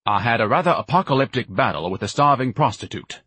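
A man's voice: medium pitch, lively intonation, fast, sharp tone, husky, no room sound, pitched above the performer's own voice. A male narrator plays a lazy, pompous grown son in a comic audiobook. He declaims in grandiloquent, indignant style, haughty and theatrical, ready to bellow at any slight. The recording is close and dry.

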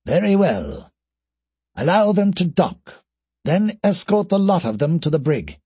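A clawed alien captain played by a male reader gives orders in a deliberate, authoritative way. The voice belongs to a space-opera audiobook and is recorded very dry. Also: fairly high pitch, very animated, dark tone, husky, no echo, pitched above the performer's own voice, heavily acted. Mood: commanding.

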